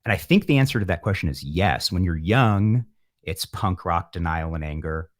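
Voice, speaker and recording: Male, middle-aged, low voice. Musician in interview, wry and philosophical, thinking out loud. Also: lively intonation, normal speed. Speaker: musician, podcast guest. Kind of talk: reflective interview talk.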